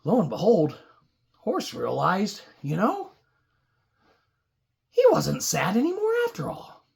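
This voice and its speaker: A woman storyteller with a gravelly, raspy voice improvises a puppet show for kids. She takes her time, rambling into wry asides, and her pitch moves around a lot. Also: huge pitch swings, slow pace, a little mellow. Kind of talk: improvised comic children's story narration.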